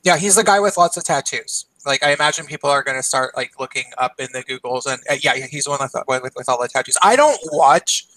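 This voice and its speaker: A man's voice: mid-range voice, animated, quick pace, hardly any pauses, bright, forceful tone, no echo, poor audio. A man in his thirties hosting a podcast, chatting casually and laughing often over a call. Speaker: podcast host. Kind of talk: casual chat.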